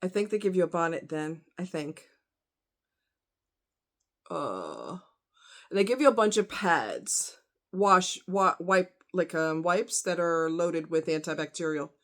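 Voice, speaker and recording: A woman's voice: on the low side, lively intonation, unhurried, pauses often, a bit bright. A woman vlogger chatting casually to her audience in a rambling, conversational way with frequent asides. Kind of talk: casual chat to camera.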